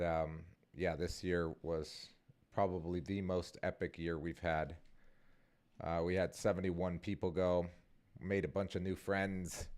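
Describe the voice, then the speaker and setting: A man's voice: deep voice, clean tone. A man in his forties hosting his own vlog, talking casually and rambling with many fillers and restarts. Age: 40s. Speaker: vlogger and podcast host.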